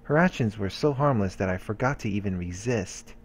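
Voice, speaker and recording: Lowish-voiced man reading game narration. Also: animated, fairly quick, a little hiss or hum. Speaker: let's play host.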